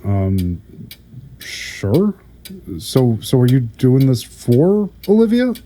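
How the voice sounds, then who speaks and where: A man playing a patient older therapist in an audio drama, hesitant and stammering as he gently probes. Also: medium pitch, very animated, slow pace. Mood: cautious probing. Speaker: prison therapist. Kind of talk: audio drama acting, conversational.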